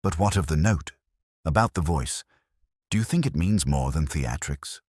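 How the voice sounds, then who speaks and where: A man playing an eager, low-voiced police sergeant. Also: expressive, normal speed, darkish tone, breathy edge, no room sound, clean studio sound, heavily acted. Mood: timid, questioning.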